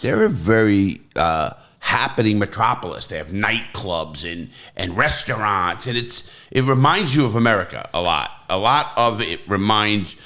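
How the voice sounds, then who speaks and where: A man's voice: on the low side, animated, normal speed, hardly any pauses, sharp tone. A man hosting an interview, casual and rambling, often jumping in. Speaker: podcast host. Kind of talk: interview conversation.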